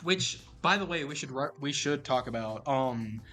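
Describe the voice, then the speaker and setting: A man's voice: medium pitch, expressive, normal speed, soft background music. A young man co-hosting a podcast, chatting enthusiastically and jumping into the conversation. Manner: enthusiastic, jumps in often, yells when mimicking. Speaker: podcast co-host. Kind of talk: casual chat.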